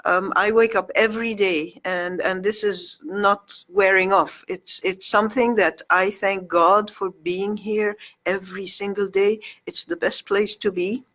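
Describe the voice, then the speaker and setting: A middle-aged woman from Egypt, whose mother tongue is Arabic, chatting as a talk-show guest and pausing often with ums and restarts. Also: mid-range voice, fairly even intonation, a bit bright. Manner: hesitant, many ums and restarts. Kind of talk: casual interview chat.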